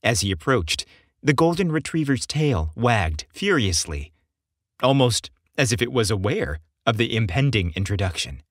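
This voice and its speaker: A man narrating scripted fiction as an audiobook narrator, in a measured, expressive storytelling manner. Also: mid-range voice, no room sound, clean studio sound.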